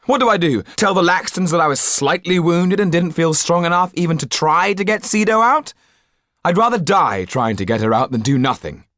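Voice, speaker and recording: Audiobook role: impassioned, defiant young man, played by a male reader; higher lifted voice, intense and headstrong. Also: expressive, normal speed, fairly bright tone, no echo, studio quality. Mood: angry, desperate. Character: Yann, passionate, defiant, headstrong young hero.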